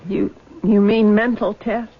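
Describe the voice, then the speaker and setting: A woman's voice: mid-range voice, little pitch movement, a little slow, husky. An actress playing a distraught, guilt-ridden young woman in a radio drama, plaintive, pleading and tremulous. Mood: defensive, fearful. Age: young adult. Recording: muffled old radio broadcast.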